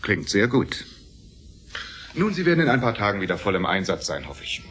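Hard, sharp-voiced corporate boss. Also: male voice, fairly low pitch, big pitch swings, a little fast, a little hiss or hum. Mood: controlled, businesslike. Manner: curt, businesslike, commanding. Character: hard, brusque, authoritative male corporate executive. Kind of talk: German radio play acting.

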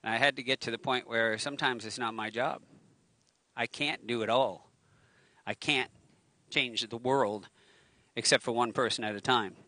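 Man, middle-aged pastor, bright forceful voice, dry sound, handheld mic outdoors, joking conversational preaching. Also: mid-range voice, steady tone, moderate pace, pauses often, no echo. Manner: joking, conversational, with self-mocking asides. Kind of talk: casual sermon. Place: outdoors by a waterfront on a lawn. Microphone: handheld microphone at a lectern.

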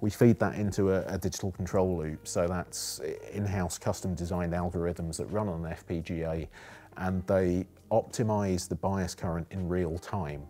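A man's voice: low voice, fairly even intonation, moderate pace, mellow. A man giving an enthusiastic, technical explanation of a product as an engineer in an interview. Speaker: acoustic engineer presenting a product.

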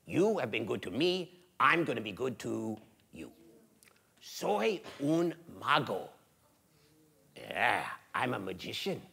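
A woman's voice: rumbling low, big pitch swings, slow, creaky, pauses often, fairly bright tone, breathy edge, exaggerated voice. A woman storyteller acts out an old wandering man from a folktale. He is humble and grateful, with a magician's secret and a varied delivery. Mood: grateful, solemn.

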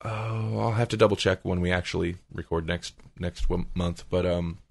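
A man's voice: low-pitched, fairly even intonation, moderate pace, gravelly. A man hosting a podcast, talking casually and conversationally with his co-hosts. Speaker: podcast host.

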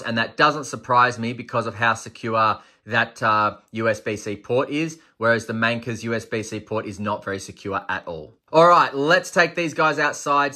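A man's voice: mid-range voice, bright, forceful tone. A man, in his thirties, casually reviewing flashlights and thinking aloud with enthusiasm. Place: workshop desk indoors, then outdoors at night.

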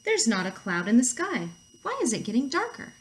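A woman's voice: fairly high pitch, wildly swooping pitch, brisk. A woman voicing a flustered, bossy mother-hen character in a storybook, exclaiming urgently. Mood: alarmed. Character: flustered, bossy female hen raising the alarm.

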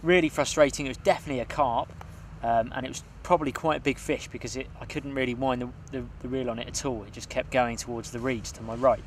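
A man's voice: mid-range voice, fast, hardly any pauses, a little hiss or hum. A young man, co-hosting a fishing show, seated at a lakeside and talking through his tactics with some ums. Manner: relaxed, hesitant with ums, confiding. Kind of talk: casual outdoor fishing commentary.

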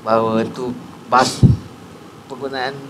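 A man's voice: mid-range voice, little pitch movement, takes it slowly, sharp tone, a little hiss or hum, low-quality recording. A retired man in his sixties with a Patani Malay accent, earnestly explaining a point in a studio interview. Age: 60s. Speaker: guest. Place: TV studio set, on a couch. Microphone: lapel mic.

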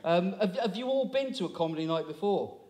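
Sixties male stand-up compere, higher pitch, teasing crowd work, handheld mic, small hall. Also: expressive, brisk, darkish tone. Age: 60s. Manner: chatty, teasing, audience banter. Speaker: comedy compere. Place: small town hall stage, indoors. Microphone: handheld microphone.

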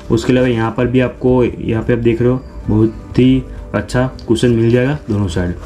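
A man's voice: medium pitch, mellow. A young man hosting his own unboxing channel, walking viewers through a product in a repetitive, explanatory way and calling them friends. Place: small room indoors.

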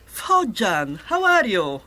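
Audio drama part: bossy, sociable middle-aged hostess, lively intonation, ordinary female pitch, pushy chatter. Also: extremely slow, exaggerated voice, rough recording. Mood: bubbly, welcoming. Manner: chatty, pushy, drops in English phrases. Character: breezy, bossy middle-aged matchmaking hostess and old schoolfriend. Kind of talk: audio drama acting, conversational.

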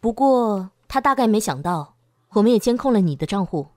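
A woman acting a composed, analytical police officer in a Chinese audio drama, speaking in an official, deliberate way. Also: medium pitch, expressive, close to an everyday voice. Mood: serious, advising.

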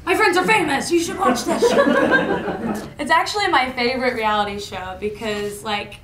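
A young woman from Indiana doing a stand-up comedy set, deadpan and self-mocking, often laughing at her own jokes, recorded by a camera out in a lecture hall. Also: high-pitched, moderate pace. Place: college lecture hall stage. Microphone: far camera in the room. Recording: echoey hall, audible room noise.

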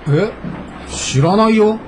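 Flustered grumbly office guy, radio drama, slow but really up and down, noisy recording. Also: high-pitched, animated. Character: flustered, grumbling adult male office worker, once class leader. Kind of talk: naturalistic radio drama acting.

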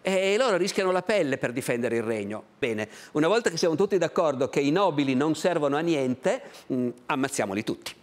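Uomo sui sessant'anni, storico ospite in tv, italiano, parla animato, microfono a clip, studio televisivo. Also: male voice, fairly high pitch, a little fast, hardly any pauses. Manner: animated, gestures with both hands while explaining. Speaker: historian guest. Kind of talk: lively storytelling on a TV talk show. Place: bright TV studio set. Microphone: lapel mic.

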